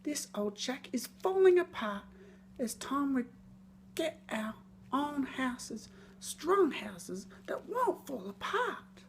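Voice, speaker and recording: Woman as high, plucky storybook little pig. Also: very animated, slow, slight background noise, heavily acted. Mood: alarmed, resolute. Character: three plucky young little pigs, storybook siblings. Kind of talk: children's storybook character voice.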